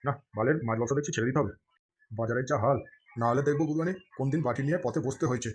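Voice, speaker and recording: A man's voice: mid-range voice, rapid-fire, slightly rough, close to an everyday voice. A man voicing a hard-up fish seller in a comedy cartoon who broods about money and snaps crudely. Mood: brooding. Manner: brooding, then crude and snappy. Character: hard-up male fish seller, gloomy and short-tempered. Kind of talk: Bengali comedy cartoon dialogue.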